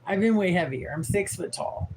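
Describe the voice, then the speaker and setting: A tired woman in her forties with a raspy voice, rambling frankly and swearing as she chats on a livestream. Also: on the low side, normal speed, noisy background. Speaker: livestream host. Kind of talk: casual livestream chat.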